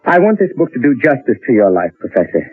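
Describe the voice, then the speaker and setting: A man's voice: mid-range voice, very animated, fast, husky, no room sound. An actor playing a nervous, earnest writer in his thirties in old-time radio drama style. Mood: earnest recounting. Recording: lo-fi narrowband old radio recording.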